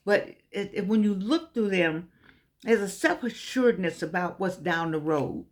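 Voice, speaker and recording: A woman in her eighties giving a casual motivational talk to her viewers, folksy and emphatic, often repeating herself. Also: mid-range voice. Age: 80s. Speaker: vlogger.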